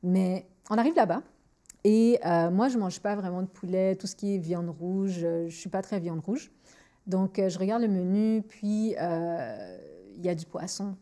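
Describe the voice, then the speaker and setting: A woman lively Montreal podcast host. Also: mid-range voice, unhurried. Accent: Montreal French. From Montreal, Canada. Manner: lively, switches freely between French and English, repeats words when thinking. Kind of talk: candid conversational storytelling.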